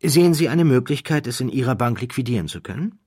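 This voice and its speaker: A suave swindler played by a male narrator poses as a naive, aristocratic count in an audiobook. The speech is polite, with swooping intonation and a husky edge. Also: medium pitch, animated, quick pace, a little mellow, no room sound, clean studio sound, voice pushed up. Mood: politely eager.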